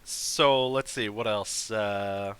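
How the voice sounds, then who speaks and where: A man's voice: on the low side, steady tone, slow pace, fairly bright tone, clear, resonant tone, dry recording. A man hosting a live stream, chatting casually with a guest in a rambling way full of fillers and restarts. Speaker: host.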